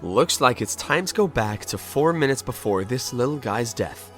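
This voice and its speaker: A man playing a wry, kindly ghost detective with an expressive delivery in a game fandub. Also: mid-range voice, moderate pace, soft background music, lightly acted. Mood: determined. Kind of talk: animated game fandub acting.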